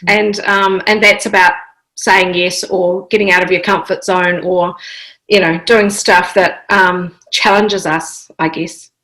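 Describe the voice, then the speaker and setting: Woman, audience member, bright sharp voice, hesitant, lots of ums. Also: fairly low pitch, level tone, low-quality recording. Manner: hesitant, many ums and pauses. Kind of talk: group discussion comment.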